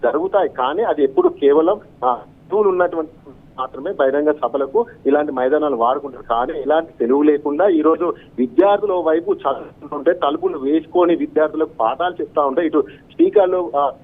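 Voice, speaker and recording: Over a thin, narrow phone line, a male field correspondent reports live. His voice is slightly high, and his unscripted delivery is wordy, halting and repetitive.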